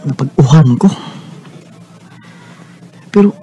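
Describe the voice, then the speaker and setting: A male actor with a Visayan Filipino accent playing an earnest, halting, lovesick young working man in a radio drama. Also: mid-range voice, expressive, dark tone, dull audio, slight background noise. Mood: forlorn, longing. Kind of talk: Bisaya radio drama acting.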